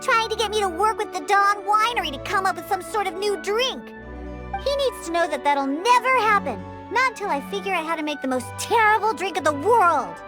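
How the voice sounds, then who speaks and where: A very high, childlike cat-girl with a proud, grumbling manner. She is a disdainful young bartender from a video game, and her pitch moves around a lot as she talks. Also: lively intonation, brisk, over music. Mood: scornful, grumbling. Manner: haughty, curt, grumbling. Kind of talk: English video game voice acting.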